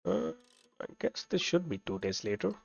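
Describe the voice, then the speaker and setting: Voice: medium pitch, very animated, moderate pace, vocal fry, darkish tone, breathy edge, muffled recording. A video game streamer giving casual playthrough commentary, offhand and muttering to themselves. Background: game music.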